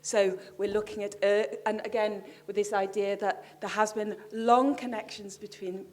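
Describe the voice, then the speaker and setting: A woman's voice: medium pitch, little pitch movement, moderate pace, a little mellow. Brief: woman, panel academic, earnest, explanatory. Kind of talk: panel discussion. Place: large festival stage.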